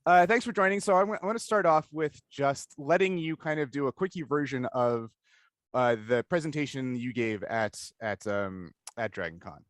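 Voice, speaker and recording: A man hosting a casual interview, speaking in a halting, self-correcting way. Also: medium pitch, no room sound, studio quality. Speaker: podcast host and interviewer. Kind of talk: casual interview intro and chat.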